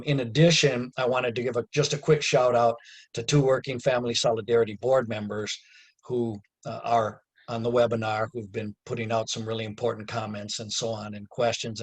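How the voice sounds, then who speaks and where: A man with a slightly husky voice speaks over a video call in an earnest, plain-spoken way. He talks like a community organizer closing a webinar, and he often rises into emphatic, raised passages. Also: mid-range voice. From United States.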